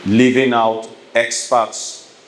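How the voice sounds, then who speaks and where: A Sierra Leonean man gives a public address in a mid-pitched voice. He speaks slowly and formally, choosing words with care. Faint noise sits behind him. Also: flat delivery, rough recording. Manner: deliberate, formal. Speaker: keynote speaker.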